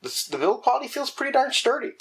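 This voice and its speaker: A man's voice: very high voice, sing-song, quick pace, fairly bright tone. A man hosting a casual product review, talking chattily and often correcting himself mid-sentence. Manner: chatty, frequent self-corrections and restarts.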